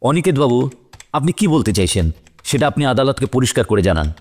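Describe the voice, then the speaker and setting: Stern judge with animated intonation. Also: male voice, medium pitch, fast. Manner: formal, commanding. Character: stern, authoritative male judge presiding over court. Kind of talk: courtroom audio drama dialogue.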